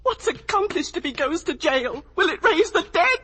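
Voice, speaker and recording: An actress playing a middle-aged mother in 1950s radio drama, anguished, protective and pleading, with a husky voice. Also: very high voice, animated, normal speed, sharp tone, full character voice. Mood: desperate.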